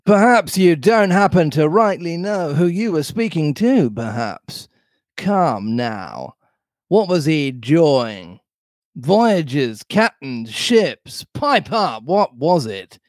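A man reading aloud as a wily old-fashioned storybook pirate, blustering and emphatic. His pitch rides high and swoops widely, and he drags his words out slowly. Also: sing-song, no room sound, studio quality. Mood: scolding, bullying. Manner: drawn-out, emphatic, swooping delivery. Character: Long John Silver, blustering, wily male pirate sea-cook. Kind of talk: storybook read-aloud character voice.

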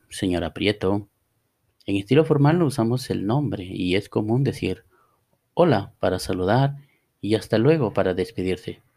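A man runs a scripted language lesson, speaking at a very unhurried pace. His voice carries a gravelly, fry-laden texture. He reads each model phrase deliberately, like a patient classroom teacher. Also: lowish voice, a little mellow. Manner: slow, deliberate, reads model dialogues aloud. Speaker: Spanish teacher.

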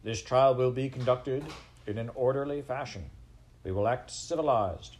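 A man's voice: on the low side, expressive, darkish tone. Man doing a stern, formal head mouse. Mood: commanding. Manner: formal, deliberate, authoritative. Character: most honored head mouse, stern, formal male council leader.

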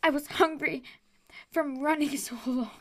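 A young woman with a high voice reads a fanfiction aloud, flat and deadpan, swearing and breaking off now and then. Casual, loose delivery. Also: a little slow. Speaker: co-host reading fanfiction aloud. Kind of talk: casual comedic reading.

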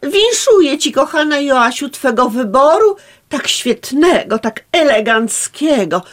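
An actress playing a nervous, sentimental older baroness, theatrical and tearful, in a radio play. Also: female voice, high voice, sing-song, measured pace. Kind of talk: radio drama acting.

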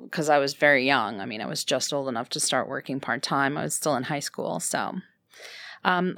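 A Russian-native woman with a smooth, clear voice and a slightly low pitch, speaking as a guest. She answers thoughtfully, pausing with many ums, and sounds close and dry. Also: fairly bright tone, dry recording. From Ukraine. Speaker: guest, fashion brand founder. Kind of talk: casual interview chat.

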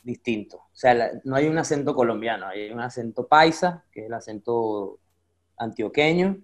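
A man's voice: mid-range voice, normal speed. A man, a guest chatting casually and easily, with a child of his own. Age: adult with a child. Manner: relaxed, chatty, many fillers like "este". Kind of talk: casual conversation.

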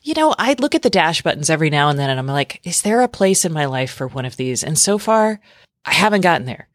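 A woman with a notably low voice hosts a casual tech podcast. She talks informally and chattily, and the sound is dry and close, with very little room. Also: sing-song, quick pace, dry recording. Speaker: podcast host.

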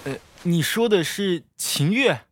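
A man voicing an excitable, easily flustered college student in a campus audio drama. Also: sits high, slow, faint music behind, exaggerated voice. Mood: earnest, guarded. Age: young adult.

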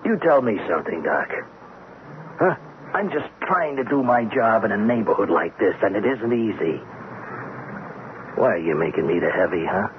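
An actor playing a dogged, streetwise police lieutenant in a radio drama, blunt and pressing. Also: male voice, lowish voice, sing-song, moderate pace, pauses often. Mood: insistent. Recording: very tinny, noisy old radio recording.

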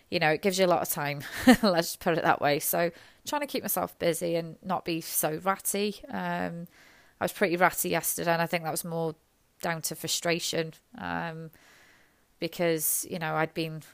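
Hoarse nurse with a gravelly voice, smooth underneath, rambling, self-deprecating monologue. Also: female voice, low voice, fairly even intonation, normal speed, dry recording. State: hoarse from illness. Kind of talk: reflective personal monologue.

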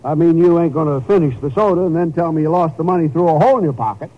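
An actor playing a wisecracking, good-natured small-town diner owner with a Southern accent and a folksy, teasing manner in an old-time radio drama. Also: male voice, on the high side, quick pace, natural voice. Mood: good-natured ribbing. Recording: muffled, noisy old radio recording.

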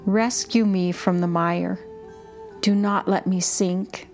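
Slow-paced woman leading contemplative prayer. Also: mid-range voice, fairly even intonation, darkish tone. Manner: measured, deliberate phrasing in short lines. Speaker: prayer guide and podcast host. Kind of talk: slow, repetitive guided contemplative prayer reading. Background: music under the voice.

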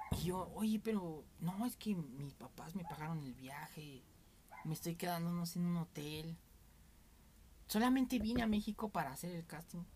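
A man's voice: on the high side, a little mellow, slight background noise. A young Mexican man with a Mexican accent, telling candid stories in a casual, rambling podcast chat as its host.

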